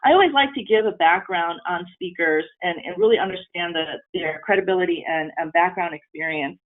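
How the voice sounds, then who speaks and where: A woman's voice: lowish voice, brisk. Woman presenting over a tinny line. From Taiwan. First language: Mandarin Chinese. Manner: earnest, with frequent ums and restarts. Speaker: webinar presenter. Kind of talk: presenting a training webinar. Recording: tinny phone-line audio.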